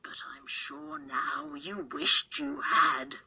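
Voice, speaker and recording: A woman voicing a spiteful old fairy who curses a baby, with a menacing, drawn-out gloating delivery. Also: high-pitched, vocal fry, pushed and bright, whispered, full character voice. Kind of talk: storybook character reading.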